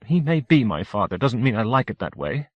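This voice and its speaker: A man's voice: mid-range voice, very animated, brisk, dry recording, dull audio, pitched above the performer's own voice. A man voicing a tipsy young woman who is flippant and defiant.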